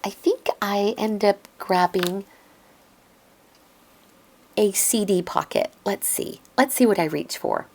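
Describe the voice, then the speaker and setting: A woman explaining a craft project step by step in a calm voice-over, her voice slightly hoarse and raspy from a lingering cough. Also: medium pitch, slow, pauses often, slight background noise. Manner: gentle step-by-step explaining, thinks aloud. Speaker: crafting tutorial host. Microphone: voice-over recorded apart from the video.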